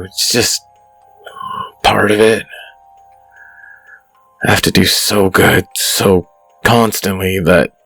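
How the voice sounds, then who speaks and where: An actor playing a weary young man gamer in chronic pain, who sighs often and confesses quietly. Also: lowish voice, gravelly, breathy edge, exaggerated voice. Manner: quiet, sighing, self-critical confession.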